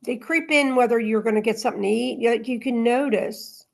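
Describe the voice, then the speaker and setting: A woman's voice: on the high side, fairly quick, gravelly. A woman hosting a spiritual study gathering, reading aloud and talking informally with the group. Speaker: host reading aloud and leading group discussion.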